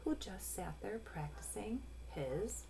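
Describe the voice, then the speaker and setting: A woman in her forties reading a picture book aloud to young children, pausing to explain in an instructive way. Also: mid-range voice, lively intonation, creaky, mellow. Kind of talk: storybook read-aloud with explanatory asides. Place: small room at home. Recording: noisy home webcam recording.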